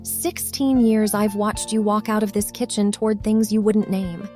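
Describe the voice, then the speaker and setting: An actress playing a blunt, protective sister in an audio drama, dry and insistent, wry but worried. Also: female voice, mid-range voice, steady tone, normal speed, dark tone, faint music behind, lightly acted. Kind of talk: dramatic audio fiction dialogue.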